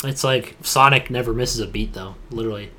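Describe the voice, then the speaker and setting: A man's voice: mid-range voice, fairly quick, sharp tone, slight background noise. A man co-hosting a casual gaming chat, talking like an opinionated, informal fan who peppers his speech with "dude". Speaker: podcast co-host. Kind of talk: casual chat about video games.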